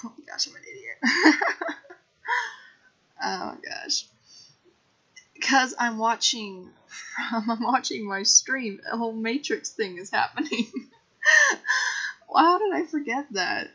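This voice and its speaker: A woman's voice: fairly high pitch, sharp tone, slightly rough. A woman game streamer chatting casually to her viewers in a scattered, self-deprecating way. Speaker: game livestreamer. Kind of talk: casual livestream chat. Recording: dull lo-fi mic, music behind. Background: background music.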